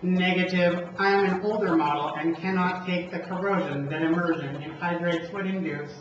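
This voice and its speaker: A man's voice: high-pitched, fairly even intonation, moderate pace, natural voice. A man voicing a polite, literal-minded robot in an amateur puppet show, speaking in a stiff, formal, wordy way. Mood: flat refusal, logical. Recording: very echoey, distant, muffled room.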